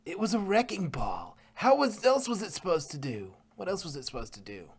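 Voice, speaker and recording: A man's voice: high-pitched, wildly swooping pitch, brisk, vocal fry, slightly rough, voice pushed up, exaggerated voice. A man voicing cheeky, defensive young pony fillies in a fan fiction reading. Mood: indignant.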